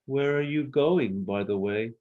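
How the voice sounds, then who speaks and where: A man's voice: medium pitch, unhurried, narrow, tinny audio, voice pushed up, natural voice. A man voicing a wistful, reflective moon elephant in a children's storybook. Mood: grateful. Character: huge, sad, wistful moon elephant with long memory.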